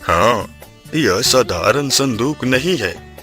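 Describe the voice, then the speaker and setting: A man voices a wise village priest in an animated folk story. He talks slowly and gravely in a bright, firm voice, guiding and instructive. There is music behind him. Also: medium pitch. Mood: solemn, guiding.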